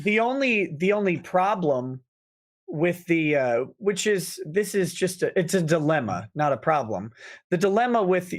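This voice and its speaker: A man co-hosting a casual livestream chat, explaining points in a measured way with quick restarts. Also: fairly high pitch, a bit bright. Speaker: co-host.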